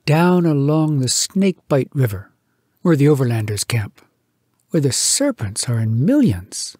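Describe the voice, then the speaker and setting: A man reading humorous poetry aloud as a storyteller, in an expressive, measured, theatrical way. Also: medium pitch, slightly rough, no room sound, studio quality. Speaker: poetry reader. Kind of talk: dramatic storytelling recitation of humorous verse.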